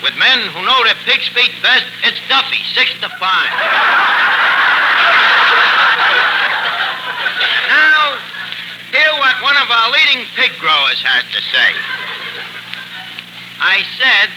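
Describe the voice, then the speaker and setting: A man's voice: very high-pitched, big pitch swings, measured pace, heavily acted. A man playing a bumbling, pompous tavern manager who announces with fumbled big words in a 1940s radio comedy. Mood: pompous patter. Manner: pompous malapropisms, fumbling delivery. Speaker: host. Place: radio show broadcast. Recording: noisy 1940s radio broadcast recording.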